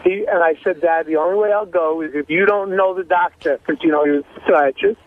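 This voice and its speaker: A man's voice: a little high, fast talker. A man telling a casual personal anecdote as a guest on talk radio. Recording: dull lo-fi sound with faint noise.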